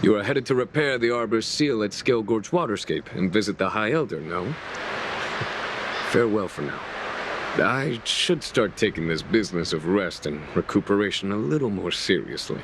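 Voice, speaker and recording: An actor playing a composed, wise man who speaks in a measured, formal way in a game. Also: lowish voice, lively intonation, background noise. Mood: grave. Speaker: game character. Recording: game audio with loud music.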